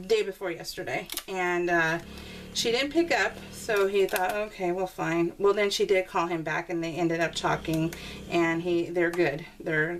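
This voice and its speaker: Chatty woman, slightly distant mic. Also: lowish voice. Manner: chatty, informal, rambling. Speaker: crafting video host. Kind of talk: casual chat to viewers while crafting. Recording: distant mic with faint background noise.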